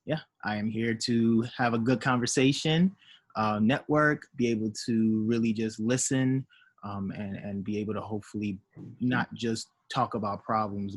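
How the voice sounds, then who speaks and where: A man's voice: fairly low pitch, fairly even intonation, moderate pace, no echo. A man from Nigeria, raised in the United States, speaking as a guest in a casual discussion with frequent fillers. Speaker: panel guest.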